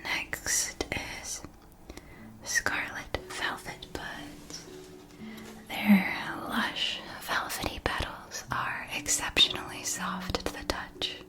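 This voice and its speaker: A woman playing a kindly potion-supply shopkeeper in a scripted fantasy ASMR roleplay, speaking in a soft, breathy whisper with deliberate, gently explanatory delivery. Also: lightly acted. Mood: soothing, helpful. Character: kindly female shopkeeper of magical witch-school potion supplies.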